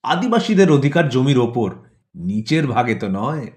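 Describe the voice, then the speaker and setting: A man's voice: medium pitch, very animated, moderate pace, a little mellow, close to an everyday voice. A man voicing a cunning courtier who advises his lord deferentially in a satirical audio story. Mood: sly, deferential.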